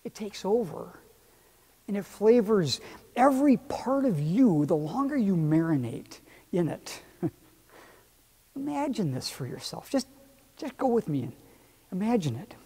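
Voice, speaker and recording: A middle-aged male pastor preaches in a warm, slightly husky voice, his pitch rising and falling in a lively way. He speaks unhurriedly and expressively. His lapel mic picks up the long reverb of the church around him. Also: unhurried, pauses often. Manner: expressive, gesturing with both hands. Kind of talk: sermon. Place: church sanctuary, indoors.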